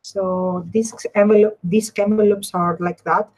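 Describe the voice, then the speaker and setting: A woman's voice: mid-range voice, fairly even intonation, measured pace, darkish tone, poor audio. A woman with a Greek accent hosting a casual live craft demonstration, repeating and rephrasing herself as she explains and chats. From Greece. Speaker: craft tutorial host.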